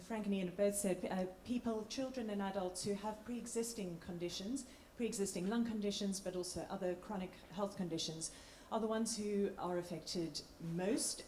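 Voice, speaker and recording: A woman doctor with a slightly croaky voice, giving an informal, somewhat hesitant public health presentation. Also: on the low side, a little mellow. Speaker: doctor, clinical respiratory lead. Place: large indoor room at a public meeting. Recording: echoey room with background noise.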